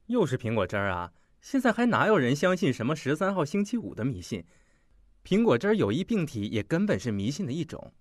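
Blunt teenage schoolboy with lively intonation. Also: male voice, a little high, fairly quick, dry recording, studio quality. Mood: dismissive. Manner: blunt, offhand. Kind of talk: audio drama acting.

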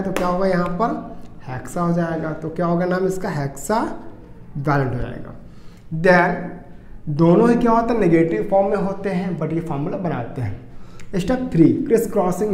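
A man's voice: fairly high pitch, darkish tone. An Indian man in his thirties giving an explanatory lesson as a teacher, often asking questions and answering them himself. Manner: asks and answers own questions. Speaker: chemistry teacher. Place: indoor room in front of whiteboard. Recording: echoey room with faint noise.

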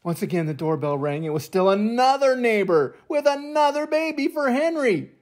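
An older man reading a children's picture book aloud as an expressive storyteller. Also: extremely high pitch, normal speed. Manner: expressive, acts out sound effects and voices.

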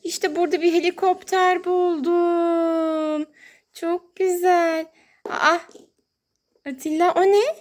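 A woman's voice: very high voice, fairly even intonation, slow, darkish tone. A woman hosting toy play for young children as a mother, talking in a sing-song, exclaiming way and drawing out her words.

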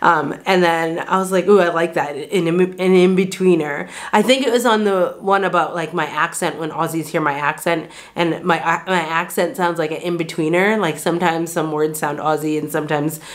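A woman's voice: low-pitched, fairly even intonation, moderate pace, few pauses. Earnest American woman in her thirties. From United States. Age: 30s. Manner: earnest, reflective, lots of "like" fillers and restarts. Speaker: vlogger. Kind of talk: candid talk to camera. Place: bright small bedroom indoors. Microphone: camera framed chest-up at desk distance.

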